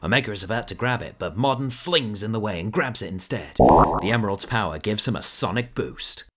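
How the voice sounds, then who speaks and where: Deep-voiced man narrating a drama. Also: lively intonation, brisk, fairly bright tone. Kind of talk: scripted narration of a fan audio drama.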